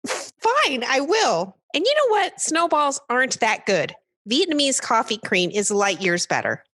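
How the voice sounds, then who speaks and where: Lively, exasperated woman guest, acted by a woman. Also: high voice, a little slow, heavily acted. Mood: defiant. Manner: casual, hesitant, stammering. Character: earnest, exasperated female food expert guest. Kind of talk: radio comedy sketch dialogue.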